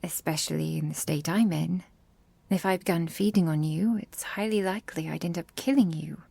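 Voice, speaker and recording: Tender vampire girlfriend played by a woman, mellow, close and dry, slightly formal ASMR delivery with whispery edges. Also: on the low side, moderate pace, vocal fry, breathy edge, dry recording, exaggerated voice. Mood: confessional, grave. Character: gentle protective vampire girlfriend, caring and apologetic. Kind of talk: intimate ASMR roleplay.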